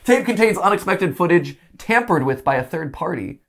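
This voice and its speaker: A man in his thirties hosting a casual live reaction show, talking in a joking, animated way and often interrupting himself. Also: high voice, moderate pace, gravelly. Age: 30s. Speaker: show host. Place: indoor studio set, seated on a couch.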